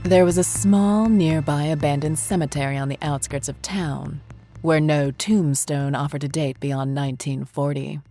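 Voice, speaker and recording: A woman narrating a scripted horror story in a measured, deliberate way. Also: low voice, mellow, no echo, studio quality.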